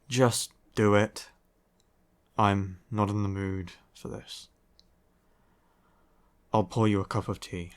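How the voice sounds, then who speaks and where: A man's voice: fairly low pitch, level tone, takes it slowly, long pauses. A man playing a grumpy, weary young vampire in an audio roleplay, curt and sardonic with heavy sighs. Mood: weary, impatient. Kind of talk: roleplay audio acting, ASMR.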